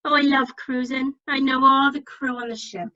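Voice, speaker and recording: A woman's voice: sits high, fairly even intonation, moderate pace, sharp tone, muffled recording. A woman playing a cheerful, chatty widow with an Irish accent in an amateur radio comedy, over online meeting audio.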